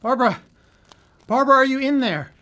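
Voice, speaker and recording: A man's voice: very high-pitched, animated, brisk, noisy background. A man acting as a grim, troubled character who takes charge in a live radio drama, terse and muttering. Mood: urgent.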